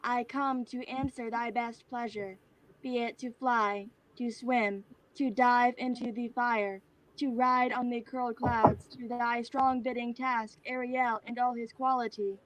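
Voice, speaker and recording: An actress playing a serenely superior artificial spirit in a staged play reading, formal, precise and declamatory. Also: female voice, fairly high pitch, steady tone, measured pace, close to an everyday voice, poor audio. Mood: grand, declamatory.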